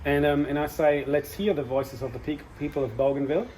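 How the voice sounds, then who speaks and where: Higher-voiced man hosting formal welcome. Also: steady tone, fairly quick, a little hiss or hum, poor audio. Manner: warm ceremonial welcome, some fillers. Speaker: institute director and host. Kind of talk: formal introduction.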